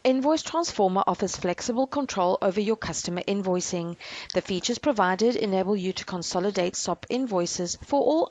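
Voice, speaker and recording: A woman narrates a software demonstration from a script. Her delivery is measured and instructional, at a middling pitch, walking the listener through each step.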